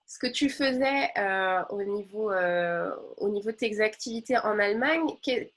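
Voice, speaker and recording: A woman's voice: mid-range voice, a bit bright. A woman hosting an interview, asking questions with eager, exclamatory enthusiasm. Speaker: host. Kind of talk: conversational interview.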